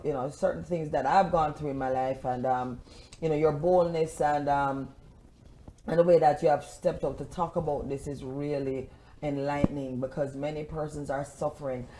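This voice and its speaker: A woman's voice: low voice, steady tone, moderate pace, dark tone. A woman hosting a talk show, interviewing a guest and emphatically repeating words for emphasis.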